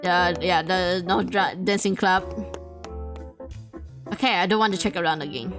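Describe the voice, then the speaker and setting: A woman's voice: fairly low pitch, normal speed, music in the background. A woman hosting a let's play, thinking aloud as she works through clues in a mystery game. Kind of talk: casual game commentary.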